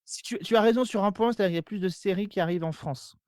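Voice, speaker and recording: A man's voice: high-pitched, rapid-fire, a little mellow, dry recording, studio quality. A man chatting casually on a podcast, explaining at length and hesitantly repeating words as he goes. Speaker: podcast host of a TV series show. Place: remote internet call recording. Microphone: podcast microphone.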